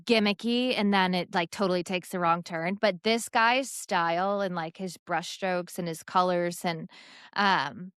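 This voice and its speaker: A woman's voice: lowish voice, steady tone, unhurried, fairly bright tone, clean tone, dry recording, studio quality. A young woman co-hosting a podcast, chatting casually and enthusiastically about sports and lifestyle. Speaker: podcast co-host.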